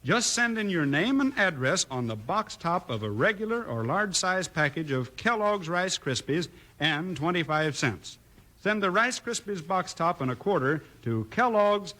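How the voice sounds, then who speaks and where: An American man giving a folksy, exuberant 1950s radio commercial pitch aimed at children. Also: sing-song, normal speed, bright, forceful tone. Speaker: commercial announcer. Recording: old 1950s radio recording.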